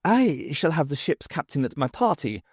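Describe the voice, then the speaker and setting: A man voicing a haughty old lady academic in an audiobook, pompous, competitive and quick to scold. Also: fairly high pitch, big pitch swings, breathy edge, no echo, studio quality. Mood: boastful. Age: older. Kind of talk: audiobook character voice.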